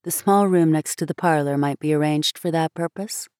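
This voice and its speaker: A woman reading aloud, voicing a patient, gentle mother in a storybook. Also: sits low, normal speed, clear, resonant tone, no room sound, studio quality, lightly acted. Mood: calm. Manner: calm, reflective.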